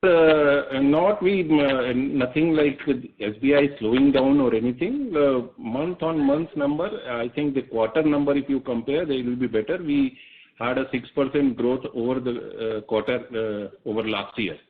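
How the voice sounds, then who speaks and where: A man with an Indian accent answering as a company executive on a conference call, in measured, broken phrases over a phone line. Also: medium pitch, animated. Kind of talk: spontaneous answers on an earnings call. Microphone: telephone conference call. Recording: muffled narrowband phone line.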